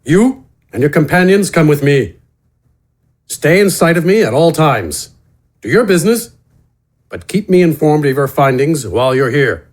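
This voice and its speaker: Fantasy audio drama captain, played by a male narrator: curt, gruff, authoritative, lively pitch swings. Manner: gruff, commanding. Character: stern male city guard captain, curt and incredulous.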